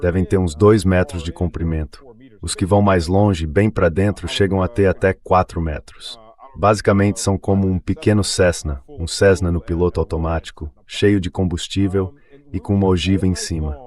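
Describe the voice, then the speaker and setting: A man's voice: sits low, steady tone, moderate pace, a little mellow. A man voicing a dubbed translation of an interview guest, explaining things in a conversational, anecdotal way.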